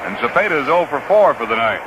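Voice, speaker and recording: A man's voice: mid-range voice, sing-song, fast talker, highs cut off. An American man calling live baseball play-by-play on the radio in a folksy, conversational way, with short clipped phrases. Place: outdoor ballpark broadcast booth. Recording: noisy vintage 1960s radio broadcast.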